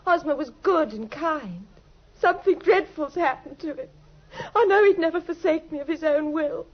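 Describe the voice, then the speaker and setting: High-voiced, naive young woman played by an actress. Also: moderate pace, close to an everyday voice. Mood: distraught. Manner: breathless, earnest, deferential, pours out her story. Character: naive, trusting, lovelorn young woman client, anxious and devoted. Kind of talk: old British radio drama acting. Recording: dull, lo-fi old radio recording.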